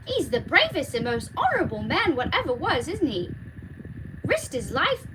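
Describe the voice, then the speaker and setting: A woman voicing an excitable young scullery maid with gushing, common speech in a storybook. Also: high-pitched, normal speed, noisy background, voice pushed up. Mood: excited.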